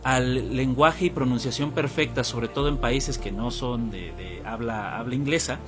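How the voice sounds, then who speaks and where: A man's voice: mid-range voice, moderate pace, over music. A man hosting a podcast, talking reflectively and casually with some restarts. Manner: reflective, thinks aloud with restarts. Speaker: podcast host. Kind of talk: casual conversational interview.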